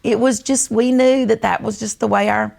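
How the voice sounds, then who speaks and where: A woman's voice: on the high side, fairly even intonation, normal speed, creaky, a little mellow. Older woman, Southern US accent, folksy storyteller, casual reminiscing, conversational. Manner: folksy storytelling, acts out remembered family dialogue. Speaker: host.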